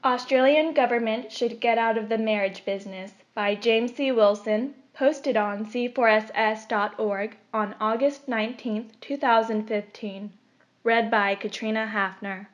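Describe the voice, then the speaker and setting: A woman reading a scripted opinion article aloud in a measured, formal delivery. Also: on the high side. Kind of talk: scripted narration. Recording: dull, lo-fi recording.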